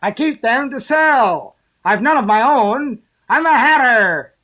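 Shrill, trembling storybook hatter. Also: male voice, expressive, takes it slowly, full character voice. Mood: nervous explaining. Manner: trembling, faltering, pleading. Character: wretched, fidgety, frightened hatter, a humble man. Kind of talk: storybook character voice. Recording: tinny, phone-like lo-fi recording.

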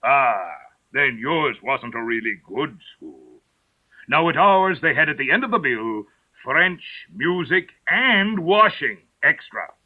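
Slow, mournful old Mock Turtle. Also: male voice, sing-song, slightly rough, narrow, tinny audio, exaggerated voice. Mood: self-important. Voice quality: deep, hollow tone. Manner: slow, mournful, drawn-out delivery. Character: sad, sighing old Mock Turtle, gloomy and touchy. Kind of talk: dramatised storybook reading.

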